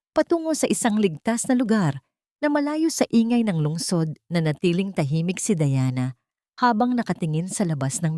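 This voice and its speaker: A woman telling a scripted night-time drama story in a measured, dramatic read. Her voice sits on the lower side, and the recording is dry and close, with no room sound. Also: mellow, no room sound. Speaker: narrator.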